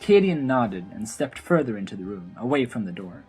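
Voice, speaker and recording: A woman's voice: very deep voice, big pitch swings, normal speed, mellow, husky, soft background music. A woman narrating a scripted fantasy story for an audio drama.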